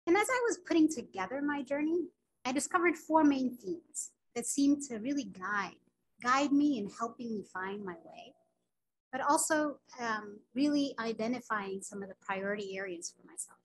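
A woman's voice: a little high, animated, normal speed, rough recording. A woman from Sudan, Arabic her first language, giving an earnest academic lecture over a video call. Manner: earnest, personal storytelling with frequent filler words. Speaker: physician giving a grand rounds lecture.

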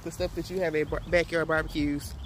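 A woman's voice: deep voice, little pitch movement, quick pace, a little hiss or hum. A young woman chatting playfully to her viewers while vlogging on a college campus, filming on a phone at arm's length outdoors. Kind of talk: casual vlog chat. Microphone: phone camera held at arm's length.

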